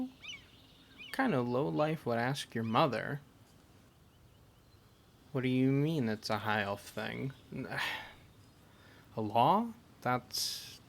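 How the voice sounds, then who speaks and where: A man acts out a male fantasy adventurer looking after a companion in an amateur audio roleplay. He talks slowly at a middle pitch, gentle and halting, caring with a teasing streak. A faint noise sits behind the voice. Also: gravelly. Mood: indignant, disgusted. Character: caring, teasing male adventurer comforting an injured party member.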